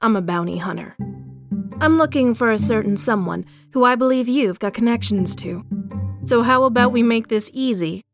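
A woman's voice: on the high side, lively intonation, moderate pace, creaky, over music. A woman playing a brash, condescending female bounty hunter in an audio drama, confident, smug and mocking. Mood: cool, commanding.

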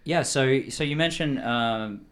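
A man's voice: mid-range voice, unhurried, clean tone. An Australian man hosting a podcast, asking questions with hesitant starts and repeated words. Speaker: podcast host. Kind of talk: interview questions.

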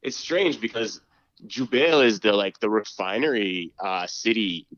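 A man's voice: fairly low pitch, unhurried, fairly bright tone, no room sound. Hesitant man on a dull line. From Canada. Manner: hesitant, many fillers and restarts, laughs easily. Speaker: podcast guest, student council member. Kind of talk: casual interview chat.

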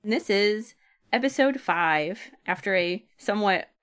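A woman's voice: mid-range voice, lively intonation, measured pace, fairly bright tone, clear, resonant tone. A woman co-hosting a podcast in casual, chatty talk, with a jokey, giggly manner. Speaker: podcast co-host.

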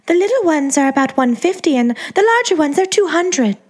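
A woman voicing a self-absorbed female celebrity who coos in a high, childlike sing-song. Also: big pitch swings, brisk. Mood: cooing.

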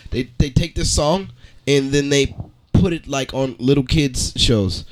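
A man's voice: mid-range voice, measured pace, noisy background. A man co-hosting a podcast in casual banter, using profane slang and often repeating himself. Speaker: podcast co-host.

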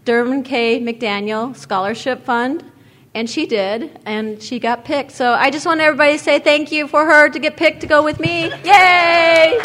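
A woman with a fairly high voice speaks at a podium microphone in a meeting hall. She is effusive, piling up repeated words as she praises her friends and presents awards. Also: fairly even intonation, normal speed, a bit bright. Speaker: organization president presenting awards.

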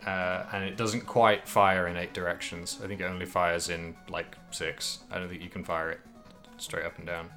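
Casting note: man, low bright voice, loose rambling podcast chat, faint guitar music behind. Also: little pitch movement, normal speed. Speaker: podcast co-host. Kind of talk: casual chat about a video game.

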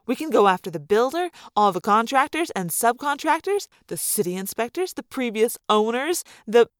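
Woman as a brisk, combative lawyer mom. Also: on the high side, very animated, dry recording, clean studio sound. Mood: gleefully combative. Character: brisk lawyer mother, gushing yet combative.

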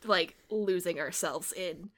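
A woman's voice: on the low side, slow pace. A woman running a tabletop game, chatting casually about the session, with many filler words and easy laughter. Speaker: game master. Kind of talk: casual podcast chat after a tabletop game. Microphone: video call.

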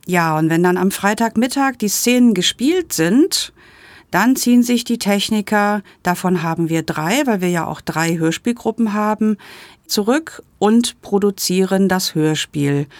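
A woman presenting an audio report, explaining things clearly and directly to the listeners. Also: medium pitch, darkish tone, dry recording.

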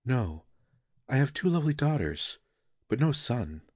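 A man's voice: mid-range voice, sing-song, a little slow, a little mellow, breathy edge, no room sound, clean studio sound, pitched above the performer's own voice. A man playing an earnest, troubled nobleman who yearns to make music, speaking hesitantly in an audio story.

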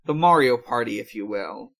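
A man co-hosting a casual recap discussion, explaining things in a halting, hesitant way. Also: mid-range voice, animated, gravelly, fairly bright tone. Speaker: podcast co-host recapping episodes. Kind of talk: casual episode recap with co-hosts.

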